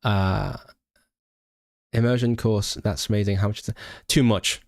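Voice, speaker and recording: A young man from Hong Kong with a mixed British English accent, hosting a relaxed language livestream and answering viewers with lots of hesitant restarts. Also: on the low side, a little slow, creaky, no echo, studio quality. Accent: British English mix. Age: young adult. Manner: hesitant, many restarts and fillers, self-deprecating. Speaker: language channel host; language learner and student. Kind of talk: casual livestream chat reading viewer comments.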